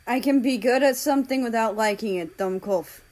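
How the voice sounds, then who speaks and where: A woman's voice: fairly high pitch, brisk, darkish tone, close to an everyday voice. A woman voicing a grumpy, clever teenage boy who mumbles dismissively in a story reading.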